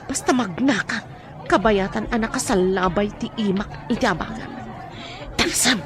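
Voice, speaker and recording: A woman's voice: medium pitch, normal speed, a faint crowd, lightly acted. An actress playing a menacing woman who threatens and interrogates a captive in an Ilocano radio drama. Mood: threatening. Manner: threatening, shouting. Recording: radio drama with music underneath.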